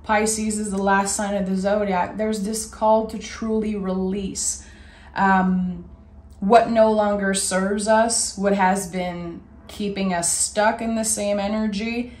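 A woman with a raspy voice giving a gentle, soothing tarot reading. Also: medium pitch, flat delivery, takes it slowly, reverberant. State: raspy voice today. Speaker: tarot reader.

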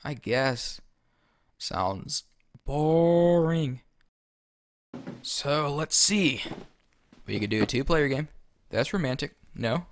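A man's voice: on the high side, expressive, takes it slowly, pauses often, slight background noise. A man playing an awkward, crude teenage boy in an audio drama, rambling and hesitant. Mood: flat, reluctant. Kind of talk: podcast audio drama acting.

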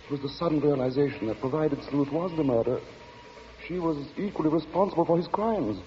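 An actor narrating a 1940s radio suspense drama in a grave, dramatic manner. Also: male voice, a little high, animated, brisk, dark tone, lightly acted. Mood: grave, mounting. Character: grave storyteller of a suspense tale. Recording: dull, noisy 1940 radio recording.

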